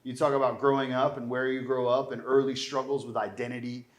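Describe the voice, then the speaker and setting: A man's voice: mid-range voice, little pitch movement, fairly quick. A man interviewing a guest in a casual, informal fireside chat, talking in a loose, rambling conversational way. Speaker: interviewer, host. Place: indoor venue live event. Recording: roomy echo of a live venue.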